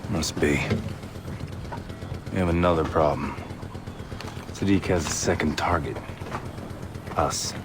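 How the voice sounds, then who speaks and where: A grim old-hand spy in a game, super deep curt voice, engine noise behind. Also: male voice, slow, long pauses. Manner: curt, low-key. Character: grim, terse veteran covert operative man. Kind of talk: video game cutscene acting.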